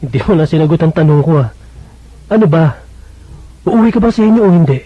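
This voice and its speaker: A high, raspy young Filipino man plays the smitten suitor in a radio soap. He is timid and easily flustered, stumbling and hesitating over his words. The recording is dull and lo-fi. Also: expressive, fast, dry recording. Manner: hesitant, stammering. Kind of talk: Filipino radio drama acting.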